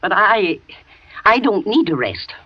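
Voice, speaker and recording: An actress playing a loyal housekeeper in a 1940s radio thriller, talking with a nervous, shaky manner on a dull old broadcast. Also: female voice, medium pitch, big pitch swings, unhurried, no room sound. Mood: startled. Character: frightened loyal housekeeper woman, middle-aged. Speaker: housekeeper and nurse.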